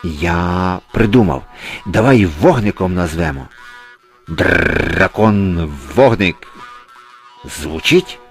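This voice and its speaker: A man's voice: low-pitched, lively intonation, a little slow, soft background music. A man voicing a sly, boastful street cat with a theatrical, bragging manner in a children's storybook. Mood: pleased.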